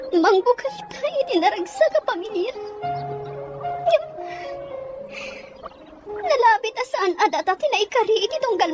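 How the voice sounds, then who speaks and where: A woman playing a wounded but resolute young woman in an audio drama, speaking in a measured, sorrowful manner. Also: extremely high pitch. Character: wounded, resolute young woman rejecting her former sweetheart. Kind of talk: Ilocano audio drama acting.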